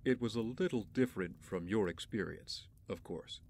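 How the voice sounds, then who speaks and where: A man playing an aloof, wry young billionaire in an audio drama, speaking in a dry, measured, haughty way. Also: on the low side, darkish tone, a little hiss or hum, close to an everyday voice. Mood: reflective, wry.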